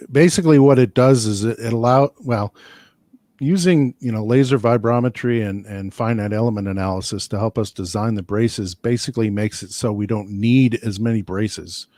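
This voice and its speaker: A man's voice: fairly low pitch, moderate pace, darkish tone, no room sound, studio quality. A man engineer explaining speaker design in a loose, technical panel chat, full of restarts and asides. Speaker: loudspeaker engineer.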